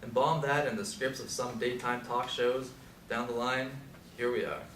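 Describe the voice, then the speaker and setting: A man's voice: medium pitch, fairly even intonation, moderate pace, a bit bright, natural voice. In an echoing space, a man plays an aging Beat theorizer, skeptical and analytical. His mid-range voice declaims from some distance, as in a stage drama. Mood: musing. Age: older. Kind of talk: stage play dialogue. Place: live stage performance recorded from a distance. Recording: echoey, distant mic, faint noise.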